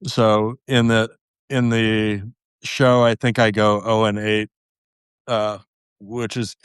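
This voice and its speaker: A man's voice: fairly low pitch, little pitch movement, slow pace, clear, resonant tone, no room sound, clean studio sound. A man guesting on a podcast, chatting casually in a self-deprecating way and laughing often at himself. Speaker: podcast guest, game designer. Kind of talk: casual interview chat.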